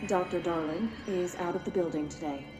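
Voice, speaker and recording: A woman playing an impassive doctor in a game, reading formal psychological assessment questions in a measured way. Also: on the low side, lightly acted. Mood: clinical, measured. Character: detached, clinical woman doctor running psychological evaluation. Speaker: psychological examiner. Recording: echoey room, music behind.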